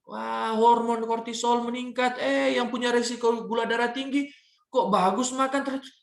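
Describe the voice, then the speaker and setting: A man with a Manado Indonesian accent gives a religious health talk as a doctor, emphatic, slowing on key phrases and often adding small check-in words. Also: very high-pitched, steady tone, vocal fry. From Manado, North Sulawesi, Indonesia. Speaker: doctor giving a health testimony. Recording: roomy, echoing sound.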